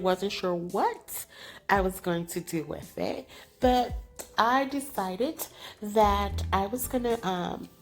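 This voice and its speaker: A woman hosting a crafting channel, talking casually over her tutorial, sounding nasal and congested from allergies, chatty and apologetic. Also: mid-range voice. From California. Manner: chatty, apologetic, laughs often.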